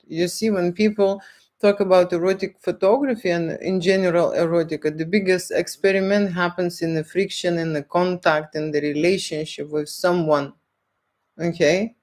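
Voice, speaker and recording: A woman's voice: lowish voice, darkish tone. A woman with a Lithuanian accent, a photographer answering street interview questions and often checking in with "okay?". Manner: deliberate, keeps checking in with "okay?". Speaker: erotic photographer.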